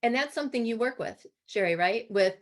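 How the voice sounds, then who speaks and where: A woman with a hoarse voice co-hosting an online interview, asking questions in a conversational, circling and halting way. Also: fairly high pitch, lively intonation. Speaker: co-host and interviewer. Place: remote video call.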